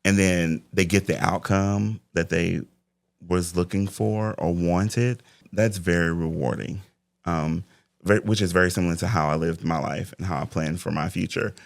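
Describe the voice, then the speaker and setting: A man's voice: deep voice, steady tone, moderate pace, creaky, dry recording, studio quality. A man in his forties speaking as an interview guest and life coach, talking reflectively about faith and planning. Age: 40s. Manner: reflective, faith-driven talk. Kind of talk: casual interview conversation.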